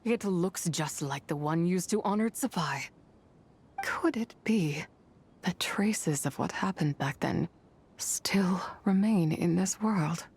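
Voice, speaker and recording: A woman's voice: on the low side, slow, darkish tone, slightly rough, exaggerated voice. An actress playing an ancient, wrathful female spirit in a video game, speaking solemn, archaic lines with brooding, imperious drama. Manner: solemn, archaic, theatrical phrasing. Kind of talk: dramatic scripted game dialogue.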